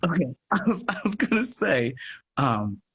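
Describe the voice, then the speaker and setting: A man chatting casually as an interview guest, expressive and thinking aloud as he explains his work. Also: on the high side, moderate pace, slight background noise. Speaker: radio play producer and guest. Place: remote live video chat.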